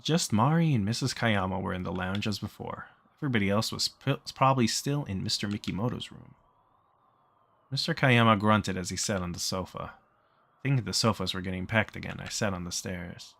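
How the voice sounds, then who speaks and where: A man's voice: on the low side, brisk, gravelly, a bit bright. A man reading a visual novel aloud in a casual way, acting out bits of dialogue as he narrates. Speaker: let's player reading game text aloud.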